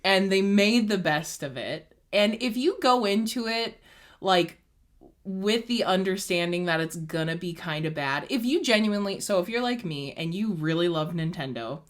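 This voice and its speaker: A woman's voice: mid-range voice, expressive, normal speed. A young woman co-hosting a casual chat, rambling enthusiastically with lots of "like". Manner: gushing, rambling, heavy use of "like". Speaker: co-host.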